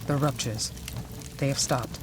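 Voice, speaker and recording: A woman voicing a brooding tyrant devoted to a dark god in a video game cutscene, speaking with grave fervour. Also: rumbling low, steady tone, unhurried, very noisy. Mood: grave devotion. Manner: grave, fervent.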